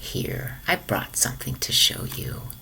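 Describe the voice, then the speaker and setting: A woman's voice: measured pace, pushed and bright, whispered, rough recording. A woman voicing a kindly, knowing old grandmother in a children's storybook. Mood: gentle, coaxing. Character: kindly old grandmother, sly former hockey player.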